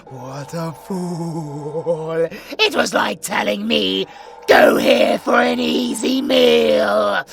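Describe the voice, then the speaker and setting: A man's voice: high voice, very slow, vocal fry, a bit bright, faint music behind, full character voice. A British man voicing a sadistic, predatory supervillain monster in an audio roleplay, mocking his prey with theatrical menace. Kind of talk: villain audio roleplay acting.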